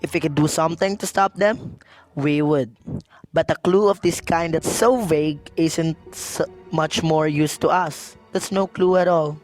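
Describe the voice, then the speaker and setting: Unhurried, dismissive police sergeant played by a man. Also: fairly high pitch, fairly even intonation, soft background music, close to an everyday voice. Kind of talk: radio drama acting.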